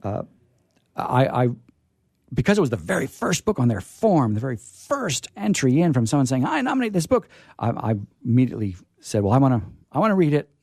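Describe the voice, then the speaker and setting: An American man hosting a radio show, chatting casually and enthusiastically about books in a halting, discursive way with emphatic repetition. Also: medium pitch, very animated, darkish tone, no echo, studio quality. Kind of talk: casual book chat.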